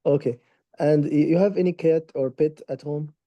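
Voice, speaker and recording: A man's voice: a little high, normal speed, dry recording, highs cut off. A man playing a doctor taking a patient's history, polite and formal, with slightly awkward phrasing. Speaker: doctor in practice consultation. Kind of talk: role-played clinical history taking.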